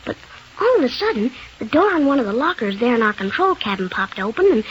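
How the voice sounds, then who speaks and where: High lively little boy, vintage radio. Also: quick pace. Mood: eager, chatty. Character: plucky injured young boy, dazed then eager. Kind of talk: 1930s radio serial acting. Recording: muffled noisy old radio broadcast.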